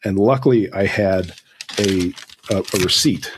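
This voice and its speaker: A man, a guest author, giving reflective, halting advice in a conversational interview. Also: low-pitched, noisy background. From Southern California, United States.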